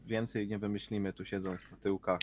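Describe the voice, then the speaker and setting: A man's voice: fairly low pitch, little pitch movement, brisk, muffled recording. A man playing a tabletop role-playing game, casually debating with the other players. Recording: loud background noise with clicks.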